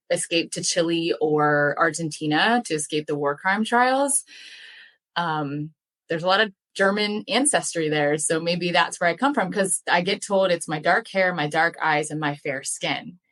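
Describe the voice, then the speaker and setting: Woman on a podcast, kinda low voice but bright and punchy, rambly and excited, lots of likes and ums. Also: a little slow. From United States. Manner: chatty and enthusiastic, many fillers. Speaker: guest, language educator and dairy farmer. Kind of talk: casual podcast interview.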